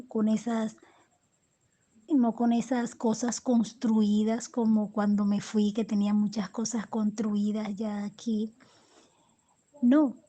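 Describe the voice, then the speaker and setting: A Colombian woman poet giving reflective interview answers, halting and restarting often as she searches for words. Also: fairly high pitch, mellow. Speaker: poet and storyteller, interview guest.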